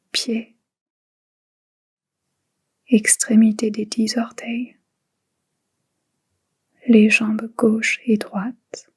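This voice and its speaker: A woman leads a guided relaxation in a very breathy, whispered tone. Her delivery is extremely slow, with short phrases set apart. The melody stays quite flat and soothing throughout. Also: on the high side. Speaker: guided meditation instructor. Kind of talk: guided relaxation meditation, soft and unhurried.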